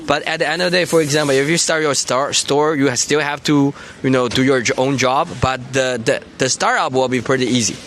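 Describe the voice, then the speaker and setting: Bright-voiced man with a Chinese accent. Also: mid-range voice, steady tone, a little hiss or hum. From Taizhou, Zhejiang, China. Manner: easygoing, halting second-language English with restarts and chuckles. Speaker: livestreamer. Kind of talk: casual street livestream chat. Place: outdoors on a busy city street. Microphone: phone.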